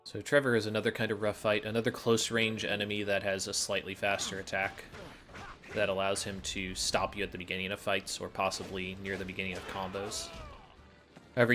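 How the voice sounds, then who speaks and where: A man's voice: lowish voice, fairly even intonation, a little fast, pushed and bright. A man giving explanatory gameplay commentary as a speedrunner, matter-of-fact and instructive. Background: faint game music.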